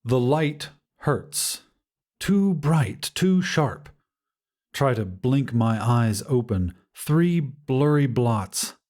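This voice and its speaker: A man narrating a scripted first-person story in a measured, dramatic way with deliberate pauses. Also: mid-range voice, animated, a little mellow. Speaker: audiobook narrator.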